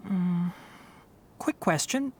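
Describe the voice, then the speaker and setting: An actor playing a young forest ranger in a video game, calm and practical, with a measured, instructive delivery. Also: male voice, high-pitched, breathy edge, heavily acted. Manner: measured, instructive, matter-of-fact.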